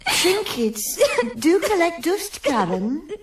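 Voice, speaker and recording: A fussy, house-proud foster mother in a radio drama. Her voice is high and moves up and down a lot as she hesitantly placates. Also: sing-song, slow pace, full character voice. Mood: placating.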